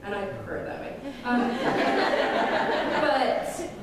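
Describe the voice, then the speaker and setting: A woman with a deep voice for a woman, a guest speaker answering audience questions after her talk, candid and quick to laugh at herself. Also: animated, a loud crowd. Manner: laughs often, self-deprecating. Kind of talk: lecture Q&A, casual and candid. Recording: echoey room, distant mic, background noise.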